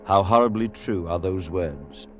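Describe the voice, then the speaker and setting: Low-voiced, earnest clergyman, muffled radio. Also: expressive. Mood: grave, reflective. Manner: measured, grave, formal storytelling. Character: earnest, courteous, compassionate clergyman recounting a dark tale. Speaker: actor and narrator in a radio drama. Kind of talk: old-time radio drama acting. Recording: muffled old radio recording with music.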